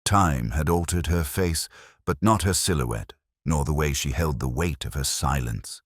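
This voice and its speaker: A man playing a brooding, introspective English police detective, narrating a mystery in measured, solemn, literary phrases. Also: extremely low pitch, slightly rough, no room sound, clean studio sound, heavily acted. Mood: brooding, reflective. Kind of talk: scripted first-person mystery narration.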